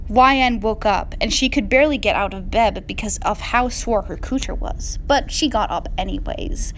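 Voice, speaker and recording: A woman's voice: mid-range voice, a bit bright. A woman giving a mock-dramatic, hammy reading of a comic fan fiction story on a faintly noisy recording. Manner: hammy, deliberately reads misspellings as written.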